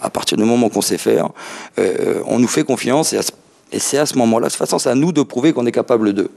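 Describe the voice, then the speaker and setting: A man's voice: mid-range voice, fast, gravelly, a little mellow. A man in his thirties answering interview questions, hesitant and full of fillers and restarts. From mainland France. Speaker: interview guest.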